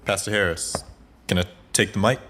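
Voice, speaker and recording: A man's voice: low-pitched, steady tone, a little fast, a bit bright, slight background noise. A man playing an earnest young community activist giving a sincere, heartfelt speech in an audio drama. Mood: tentative. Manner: sincere, nostalgic public speaking.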